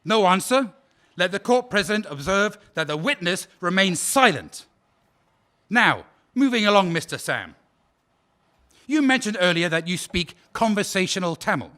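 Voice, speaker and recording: A man's voice: high voice, very animated, moderate pace, pushed and bright, exaggerated voice. A man playing a prosecuting officer at a war crimes trial, cross-examining a witness in a probing, sarcastic and formal way. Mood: cold. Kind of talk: audio drama acting.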